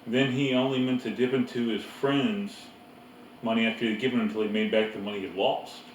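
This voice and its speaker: A middle-aged farm mother from a storybook, read by a man, brisk and knowing. The delivery is plain-spoken and matter-of-fact. It sounds roomy and away from the mic, with faint noise. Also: medium pitch, fairly even intonation, distant mic, lightly acted.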